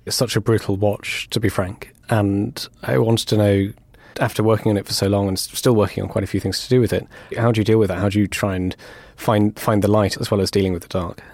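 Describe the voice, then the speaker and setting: A man's voice: on the low side, flat delivery, fairly quick, a little mellow, dry recording, studio quality. A man with a British accent hosting an interview, asking thoughtful, slightly hesitant questions. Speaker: podcast host, interviewer.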